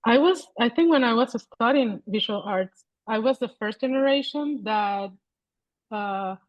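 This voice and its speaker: A woman in her forties with a Venezuelan accent, a guest artist talking over a video call in a thoughtful, halting way, often restarting her sentences. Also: a little high, animated, no room sound, highs cut off. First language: Spanish. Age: 40s.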